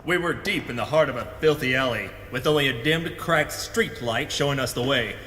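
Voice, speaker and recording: A man's voice: medium pitch, moderate pace, bright, forceful tone, long reverb tail, lightly acted. A man playing a hardboiled, melodramatic pulp detective who narrates his adventure in a noir parody audio drama. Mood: dramatic.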